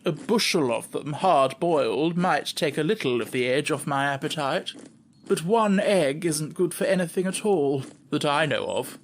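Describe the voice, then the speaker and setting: A man's voice: fairly high pitch, animated, normal speed, slight background noise. A man voicing a hungry, grumbling talking tiger in an audiobook. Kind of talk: audiobook character voice.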